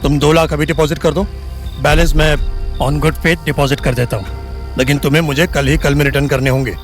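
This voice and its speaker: Man acting as persuasive Indian doctor, self-important, outwardly kind, lightly high voice, audio drama, soft music behind. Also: steady tone, a little fast, natural voice. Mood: negotiating. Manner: persuasive, self-important, mixes Hindi with English. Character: smooth, pushy male doctor, outwardly kind.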